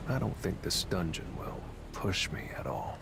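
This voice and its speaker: A man's voice: deep voice, lively intonation, slow, creaky, background noise, full character voice. A man playing a cool, laconic, self-assured young monster hunter in a video game. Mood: musing. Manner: terse, understated. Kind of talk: English game dub acting. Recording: music behind the voice.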